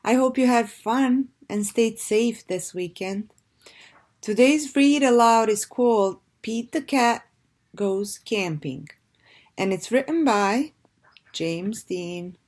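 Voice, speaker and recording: A woman reading a children's storybook aloud in a deliberate, expressive way, like a teacher addressing young listeners. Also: fairly high pitch.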